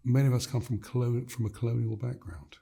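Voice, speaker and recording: Measured older man, warm low voice. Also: steady tone, vocal fry. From Gambia. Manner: measured, emphatic, builds points in repeated phrases. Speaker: guest, leadership coach. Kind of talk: reflective interview conversation.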